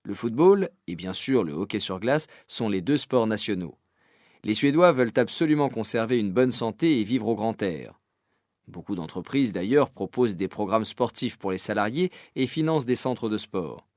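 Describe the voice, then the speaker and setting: Casting: male radio presenter, lowish voice, dry studio sound, scripted report narration. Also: expressive, moderate pace, darkish tone, dry recording.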